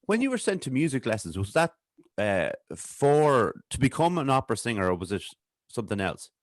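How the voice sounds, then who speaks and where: A man hosting an interview podcast, his pitch moving about a lot as he talks. He rambles modestly into his questions. The recording is dry, with no room sound. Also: sing-song, brisk, dry recording. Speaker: podcast host. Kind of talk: casual interview chat.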